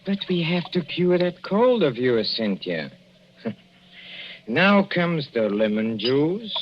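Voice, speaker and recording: An actor playing a fussy, cloying older husband with veiled menace in a 1940s radio drama, coaxing with oily endearments and small chuckles. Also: male voice, medium pitch. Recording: dull, noisy old radio recording.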